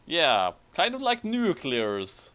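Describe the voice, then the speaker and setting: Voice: mid-range voice, huge pitch swings, slow, a bit bright. A person reading a story aloud for comedy and chatting casually about it, often going back over flubbed lines. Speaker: fan fiction reader and commentator.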